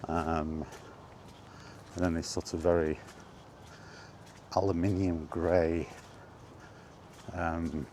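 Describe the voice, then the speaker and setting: A man chatting casually on his own, enthusiastic but halting, with frequent ums and restarts. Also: low voice, warm tone, slight background noise. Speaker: vlogger. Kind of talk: casual rambling monologue. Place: outdoors, walking.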